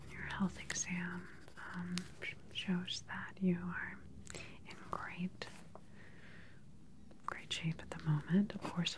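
A woman speaks in a hushed, low-pitched whisper, very close to the mic. She takes her time between phrases. Her manner is that of a reassuring clinician in an ASMR exam roleplay. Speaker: ASMR performer role-playing a doctor. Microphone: close microphone, face near the camera.

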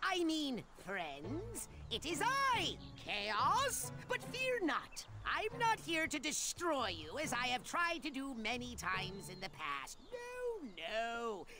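A man's voice: extremely high pitch, wildly swooping pitch, slow pace, hardly any pauses, music in the background, exaggerated voice. A man voicing a short, scheming evil overlord in a video game, pompous and melodramatic. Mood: grudging, theatrical.

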